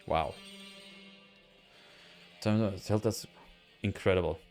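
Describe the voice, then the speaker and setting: A fairly low-pitched man with an Austrian German accent, talking loosely to viewers as he plays. He hunts for words with plenty of ums and restarts, and faint game music runs underneath. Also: very slow, darkish tone. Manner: chatty, hesitant, many fillers and restarts, answering chat. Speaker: let's play streamer. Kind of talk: casual live gameplay chat.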